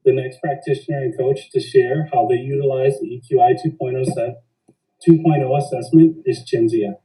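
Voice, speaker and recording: A man hosting a podcast, speaking in a measured, formal way. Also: mid-range voice, very animated, mellow, recorded from a distance, rough recording. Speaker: podcast host. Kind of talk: semi-scripted podcast hosting.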